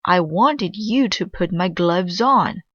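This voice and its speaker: A woman's voice: fairly low pitch, expressive, slow pace, a bit bright, husky, no echo, dull audio, voice pushed up, full character voice. A woman voicing a commanding, easily exasperated doctor in a children's storybook, giving firm instructions.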